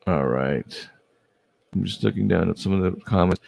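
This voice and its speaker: Guy hosting a livestream chat, gravelly voice, dry close sound, keeps restarting sentences. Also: sits low, normal speed, a little mellow, no echo. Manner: friendly, stumbling restarts, many backchannels. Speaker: host. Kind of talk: casual livestream interview.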